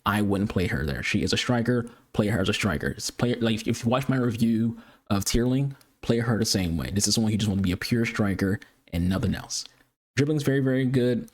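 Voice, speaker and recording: Male gaming creator, lowish voice, rapid cluttered delivery, frequent restarts, casual review talk. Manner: fast, cluttered speech with repeated words; excitable high-pitched greeting. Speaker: gaming YouTuber. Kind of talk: casual game review commentary.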